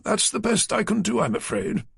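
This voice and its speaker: A man doing a fussy, high-voiced male publisher. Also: very animated, normal speed, vocal fry, a bit bright, husky, no room sound, clean studio sound, exaggerated voice. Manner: prim and defensive. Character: fussy, flustered middle-aged male publisher.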